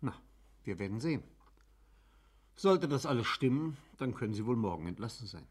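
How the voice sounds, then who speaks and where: An actor in a German radio play playing an official examining magistrate who questions briskly and sceptically. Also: male voice, medium pitch, big pitch swings, husky.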